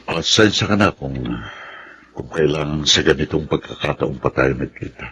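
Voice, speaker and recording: An actor with a Filipino accent playing a grave, sympathetic military officer with a deep gravelly voice in a radio drama. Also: male voice, moderate pace, noisy background. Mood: solemn, sympathetic.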